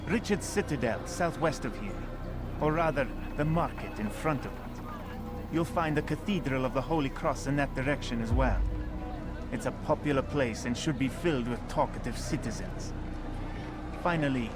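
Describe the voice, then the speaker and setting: An actor playing a courteous, wry male guild keeper who speaks smoothly. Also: medium pitch, normal speed, loud music behind the voice, natural voice.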